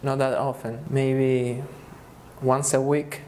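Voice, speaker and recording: A man in his twenties from Argentina, answering interview questions in a hesitant, halting way with frequent restarts. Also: medium pitch, flat delivery, a little mellow, low-quality recording. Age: 20s. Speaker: survey respondent. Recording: faint background noise.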